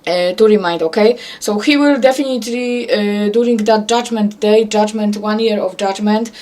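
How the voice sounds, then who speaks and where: An earnest woman with a Polish accent delivers a religious message straight to camera. Her English is halting and repetitive, and she keeps asking "okay?". Her voice sits at an ordinary middle pitch. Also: a little mellow. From Poland. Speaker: self-described prophet.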